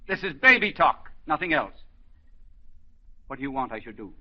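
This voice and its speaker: A gruff, sardonic old psychoanalyst. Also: male voice, mid-range voice, big pitch swings, moderate pace. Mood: scolding. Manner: sardonic, scolding, mocking. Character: gruff older male psychoanalyst mentor, teasing, stubborn. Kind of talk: 1940s radio acting. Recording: dull 1940s radio broadcast.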